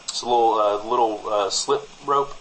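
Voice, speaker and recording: A man's voice: on the low side, moderate pace, fairly bright tone, a little hiss or hum, poor audio. An American man co-hosting a comedy podcast, bantering as if presenting small-town radio. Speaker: podcast co-host.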